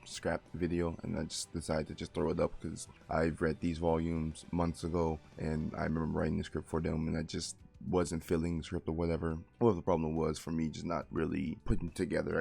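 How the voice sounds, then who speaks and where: Male reviewer, just out of bed: very low, gravelly, loose and rambling delivery. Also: completely flat delivery, a little fast, few pauses, a little mellow, faint music behind. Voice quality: gravelly morning voice. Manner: rambling, self-correcting, informal. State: just woke up, voice deeper than usual. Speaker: light novel reviewer. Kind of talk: casual spoken book review.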